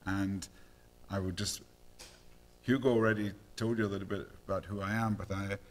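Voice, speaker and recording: A middle-aged man with a Scottish accent gives a measured academic lecture. His voice sits a little low. He pauses often with ums and uhs as he finds his words. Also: steady tone. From Scotland.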